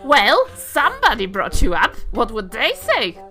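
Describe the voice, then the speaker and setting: Woman playing a high-pitched scolding granny. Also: wildly swooping pitch, measured pace, sharp tone, faint music behind, heavily acted. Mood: stern, fond. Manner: fussy, indignant, guilt-tripping. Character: scolding, soft-hearted granny, indignant old woman.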